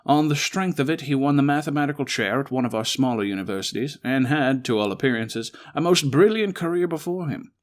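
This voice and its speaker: Man acting brilliant Victorian gentleman detective, intense, formal, emphatic speech, weary yet determined, theatrical audio drama. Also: mid-range voice, expressive, a little fast, hardly any pauses, close to an everyday voice.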